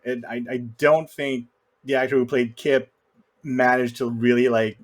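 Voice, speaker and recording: Male, mid-pitched, wry and opinionated, informal film-podcast discussion. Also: little pitch movement, unhurried.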